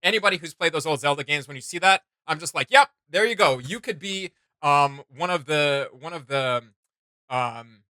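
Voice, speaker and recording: A man in his thirties with a somewhat high, very bright and forceful voice co-hosts a reaction show. He rambles enthusiastically in casual conversation, sounding close and conversational. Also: expressive, moderate pace, dry recording.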